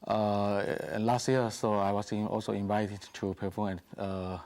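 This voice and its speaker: Halting low-voiced man, tinged English. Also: little pitch movement, darkish tone. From Taiwan. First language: German. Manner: halting, searching for words, many restarts and fillers. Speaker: guest concert pianist. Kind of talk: studio interview. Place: TV studio. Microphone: lapel mic.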